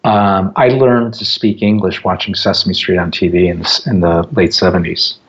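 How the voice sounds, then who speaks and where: A middle-aged American man, a native Japanese speaker, talking as an interview guest in a conversational storytelling way, with deliberate, hesitant phrasing. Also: low voice, fairly even intonation, a bit bright, highs cut off, a little hiss or hum. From born in Japan, grew up in the United States from age four. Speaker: interview guest, app company founder.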